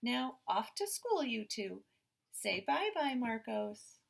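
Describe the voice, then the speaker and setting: A woman voicing a patient storybook mother, explaining things gently to young children. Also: a little high, sing-song, takes it slowly, creaky, breathy edge, heavily acted.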